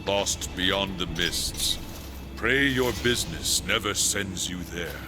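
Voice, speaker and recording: A steadfast angelic guardian in a fantasy game. His voice is low and his speech formal and earnest, like a seasoned soldier, with cinematic music clearly behind it. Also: slow pace. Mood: grave, steadfast. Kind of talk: dramatic game cutscene acting.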